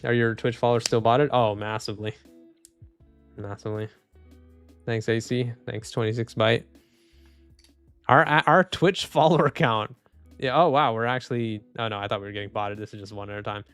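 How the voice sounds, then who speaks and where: A man live streaming and reading chat, speaking softly and calmly with frequent restarts. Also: mid-range voice, moderate pace, long pauses, clean tone, no echo. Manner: soft-spoken, calm, trailing off with restarts and small laughs. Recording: dry close mic, faint music underneath.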